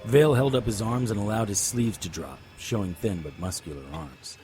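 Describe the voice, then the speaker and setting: A man's voice: on the low side, moderate pace, darkish tone, soft background music, natural voice. An actor playing a tough, determined private investigator in an audio drama, terse and serious as he narrates and speaks. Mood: alarmed, grim. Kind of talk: first-person audio drama narration and dialogue.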